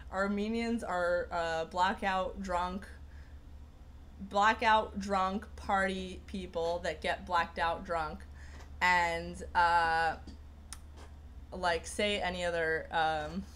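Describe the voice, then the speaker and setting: A woman's voice: fairly low pitch, a bit bright, a little hiss or hum. A young woman hosting a casual livestream, rambling through viewer comments with lots of fillers and restarts. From Persian heritage. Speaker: livestream host.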